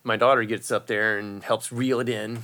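A middle-aged man with a bright, forceful voice chats casually. He rambles through a story with plenty of ums and restarts, growing animated as it goes. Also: fairly low pitch, normal speed. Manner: rambling, animated, many fillers.

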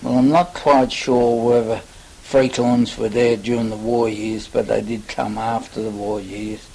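An older Australian man, in his seventies or beyond, reminiscing in a halting, rambling way for an oral history interview. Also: medium pitch, level tone, vocal fry, darkish tone, poor audio. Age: older, 70s or above. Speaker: interviewee recalling local history. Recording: faint hiss, amateur interview recording.

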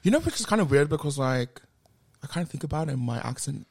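A man co-hosting a podcast in casual chat. He is from Australia and talks in an animated, jokey way, often taking back what he just said. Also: on the high side, fast talker. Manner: animated, self-correcting, jokey. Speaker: podcast co-host.

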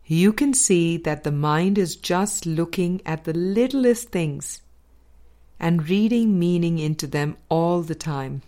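A woman with a fairly low voice reads a spiritual book aloud. Her pace is very slow, and each phrase is measured and deliberately placed, in the manner of an audiobook narrator. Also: lively intonation, dark tone. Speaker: audiobook reader.

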